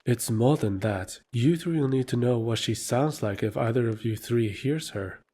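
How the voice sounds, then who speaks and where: Male actor: polished scheming lord, mid voice, unhurried courtesy. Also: mid-range voice, mellow, husky, lightly acted. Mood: courteous.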